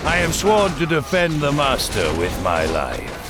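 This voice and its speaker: A man's voice: fairly high pitch, sing-song, unhurried. An actor playing a mind-controlled human commander, solemn and devoted to his master, in a video game. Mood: entranced devotion. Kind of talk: dramatic video game voice acting. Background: game music.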